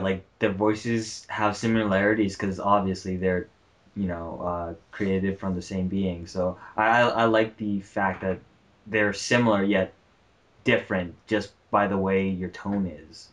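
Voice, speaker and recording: A man chatting casually on a commentary track in a halting way, often repeating words. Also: low-pitched, fairly even intonation, a bit bright. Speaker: commentary participant.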